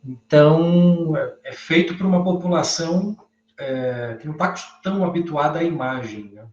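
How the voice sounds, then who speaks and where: A Brazilian man giving thoughtful, halting commentary in a class discussion, thinking aloud as he goes. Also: fairly high pitch, darkish tone. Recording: echoey room, dull lo-fi sound.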